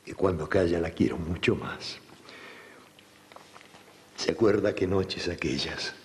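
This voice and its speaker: A middle-aged Argentine actor playing an anguished, adoring lover in an impassioned, melodramatic radio soap opera style. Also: male voice, low voice, slow, a little hiss or hum. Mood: ardent. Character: anguished, adoring male lover, tender and feverish. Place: TV studio radio-play stage. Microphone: large vintage studio microphone. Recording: reverberant studio sound over music.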